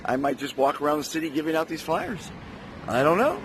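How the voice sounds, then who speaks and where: A man's voice: a little high, big pitch swings, fairly quick. An upbeat man chats to his phone camera at a windy outdoor resort. His voice is strained and fading, but he stays cheerful. A faint background hiss sits under the recording. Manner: upbeat, enthusiastic. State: losing his voice. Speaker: vlogger. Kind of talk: casual vlog chat.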